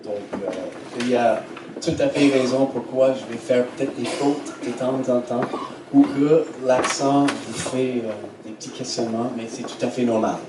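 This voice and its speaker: An American man who speaks French with a slight Québécois accent, interviewing on stage in a relaxed way full of fillers. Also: mid-range voice, moderate pace. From United States. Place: theatre stage before an audience. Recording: echoey hall, distant mic, steady noise.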